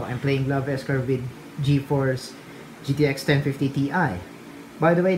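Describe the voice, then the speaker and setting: A man's voice: fairly high pitch, low-quality recording. A young man with a Filipino accent, a native Filipino speaker, vlogging casually in a small room into a handheld phone, rambling and correcting himself with frequent chuckles. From Philippines. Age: young adult. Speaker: tech vlogger. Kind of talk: casual vlog. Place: small home room indoors. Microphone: phone camera held at arm's length.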